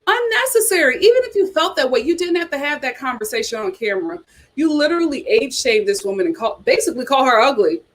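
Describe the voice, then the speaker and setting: A woman's voice: high voice, sing-song, fast talker, slight background noise. A woman hosting a casual reality TV chat, reading viewer comments aloud with dramatic exclamations.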